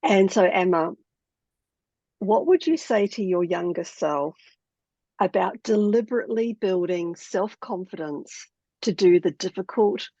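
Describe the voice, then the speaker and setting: A woman hosts an online panel over a video call, chatty and full of fillers. Her voice has a gravelly edge. The audio is dull, dry and lo-fi. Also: medium pitch, unhurried, dry recording. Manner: warm, chatty, with many fillers. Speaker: host. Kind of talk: hosting a live online panel.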